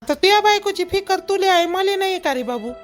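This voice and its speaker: Woman playing a high-voiced, gossipy Vidarbha village mother. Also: expressive, a little fast, soft background music. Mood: fussing, fond. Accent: Vidarbha Marathi. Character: gossipy, fretting village mother of a grown son. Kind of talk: homemade cartoon family dialogue.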